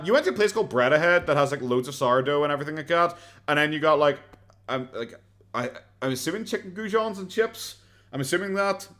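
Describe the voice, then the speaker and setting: A man's voice: a little high, a bit bright. An Irish man streaming a casual reaction chat, sarcastic, swearing often and laughing a lot.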